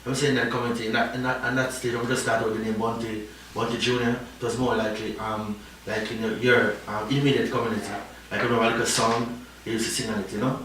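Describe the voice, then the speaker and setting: A man's voice: mid-range voice, little pitch movement, quick pace, a bit bright. A man from Jamaica with a Jamaican accent, a reggae artist in a casual interview who rambles and keeps tagging his sentences with "you know?". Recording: echoey, distant, faint noise.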